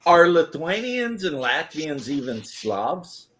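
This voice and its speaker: A man's voice: fairly high pitch, big pitch swings, takes it slowly, a bit bright. A man chatting on a livestream, hesitant, full of fillers and trailing off. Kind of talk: casual chat.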